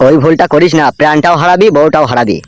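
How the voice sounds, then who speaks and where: Fast-talking, bullying cartoon henchman done by a man. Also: fairly high pitch, dry recording, natural voice. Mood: stern warning. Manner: foul-mouthed, warning, coarse. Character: gruff-talking, bullying male henchman of a local politician. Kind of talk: comic cartoon dub.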